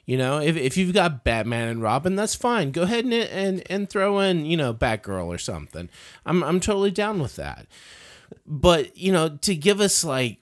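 Animated, contrarian male podcast co-host. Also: a little high, moderate pace. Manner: contrarian, wry, bantering. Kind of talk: casual chat, film opinion.

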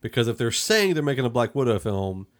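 A man's voice: mid-range voice, very animated, fast. A man hosting a podcast, chatting casually about films and thinking aloud as he goes. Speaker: podcast host. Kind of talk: casual film review chat.

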